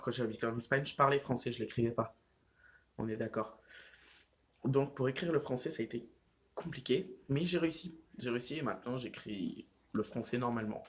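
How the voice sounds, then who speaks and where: A teenage boy talks casually to his viewers from home, rambling through his thoughts with many fillers and restarts. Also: medium pitch. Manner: rambling, heavy use of fillers like "genre" and "euh". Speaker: vlogger. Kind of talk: casual vlog chat.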